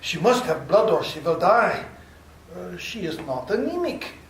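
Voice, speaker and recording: Guy doing an old worried doctor, high voice, stiff formal talk, roomy far-off hall sound. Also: sing-song, a bit bright, slight background noise, full character voice. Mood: grave, worried. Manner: halting, formal phrasing, bursts into alarm. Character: anxious elderly male professor and doctor. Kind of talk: live radio play acting. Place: library hall with live audience.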